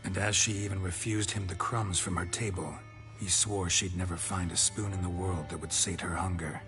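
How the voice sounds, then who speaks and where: A laconic, world-weary monster hunter in a fantasy game. The voice sits low and delivers clipped, deadpan lines, with music clearly playing underneath. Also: male voice, normal speed. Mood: grim, matter-of-fact. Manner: terse, clipped, dry deadpan. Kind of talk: video game character acting.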